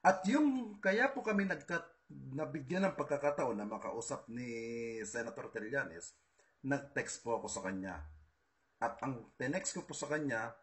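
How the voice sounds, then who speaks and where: A man's voice: medium pitch, big pitch swings, measured pace. Combative Filipino man talking to followers. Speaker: political video host. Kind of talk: informal monologue addressed to online followers.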